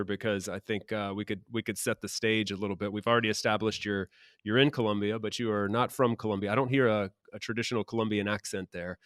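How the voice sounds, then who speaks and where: Smooth low-voiced male podcast host. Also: no room sound, clean studio sound. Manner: relaxed, hesitant, with restarts and fillers. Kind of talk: conversational interview.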